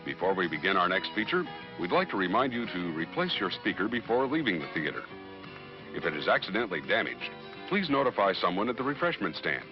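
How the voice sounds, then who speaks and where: A man's voice: sits low, sing-song, brisk. A man giving a polite, formal theater announcement in a vintage drive-in style. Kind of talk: vintage courteous public announcement. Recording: dull vintage film soundtrack with music.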